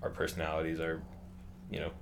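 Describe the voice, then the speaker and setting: A young man in his twenties talks in a loose, rambling way, full of fillers and restarts. His voice sits very low. Faint background noise runs under the chat. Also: completely flat delivery, fast talker, gravelly. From California. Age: 20s. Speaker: interviewee. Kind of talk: casual conversation.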